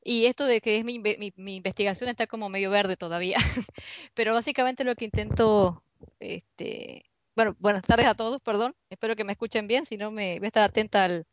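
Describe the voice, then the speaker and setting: Argentine woman researcher talking through her seminar slides over skype, mid pitch, muffled lo-fi call sound. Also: fairly quick, no echo. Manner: conversational, searching for words. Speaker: university researcher presenting. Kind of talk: online seminar presentation. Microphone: computer mic on a video call. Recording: dull lo-fi online call audio.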